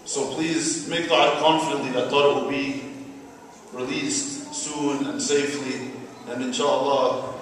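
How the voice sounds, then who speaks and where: A man giving an earnest, measured personal speech at a public event. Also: medium pitch, fairly even intonation. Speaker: speaker at an awareness event. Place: large hall at an Islamic center. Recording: very echoey hall from far off, faint noise.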